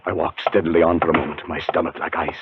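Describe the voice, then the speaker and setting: Deep-voiced haunted man, old radio. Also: flat delivery, background noise. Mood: mounting terror. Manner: dramatic, deliberate, halting when anxious. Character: haunted, frightened male scholar recounting his terror. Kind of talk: 1940s radio acting, first-person suspense narration. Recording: muffled 1940s radio broadcast with music.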